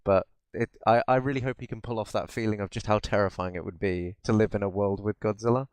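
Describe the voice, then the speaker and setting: A man's voice: fairly low pitch, fairly even intonation, fast, clean tone, no echo. A man co-hosting a podcast, chatting casually about films in a rambling way. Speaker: podcast co-host. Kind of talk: casual film chat.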